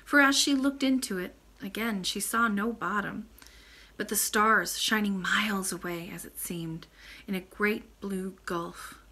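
A woman's voice: mid-range voice, measured pace, sharp tone. A woman in her thirties, a storytime reader who narrates expressively in a small indoor room. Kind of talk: expressive bedtime story reading with informal asides.

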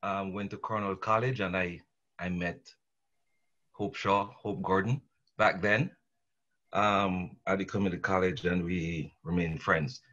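A man's voice: low voice, fairly even intonation, unhurried, sharp tone. A middle-aged man introducing himself as a panel guest. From Jamaica. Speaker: panel guest, management consultant. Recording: dull online call audio.

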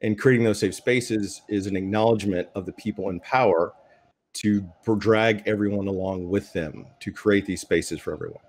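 Male panelist, middle-aged, slightly deep voice, earnest and measured. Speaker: panelist, game creator. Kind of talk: panel discussion, earnest opinion. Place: room at home, on a video call. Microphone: video call microphone.

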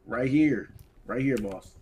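A man's voice: mid-range voice, slow, darkish tone. A man roleplaying a police officer in an online game, giving repetitive, commanding orders. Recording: slightly noisy game voice chat.

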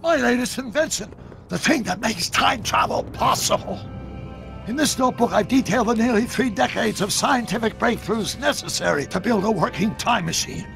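An older actor playing an excitable, grandiose old inventor in a video game, creaky and theatrical. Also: male voice, sits high, normal speed, soft background music, heavily acted. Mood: excited, grave. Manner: theatrical, emphatic.

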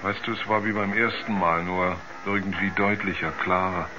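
Actor as haunted astronaut, low halting voice. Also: male voice, fairly even intonation, lightly acted. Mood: uneasy, insistent. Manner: halting, groping for words, repeats himself. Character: troubled male astronaut, haunted by terrifying dreams. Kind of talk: 1970s German radio drama acting. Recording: dull 1970s radio play recording.

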